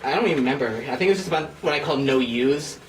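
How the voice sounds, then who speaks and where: A man's voice: medium pitch, fast talker. A man in his twenties chatting casually as host, rambling and self-mocking as he explains his own writing. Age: 20s. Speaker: host and scriptwriter presenting old scripts. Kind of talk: casual, giggly group chat. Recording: echoey room, distant mic, background noise.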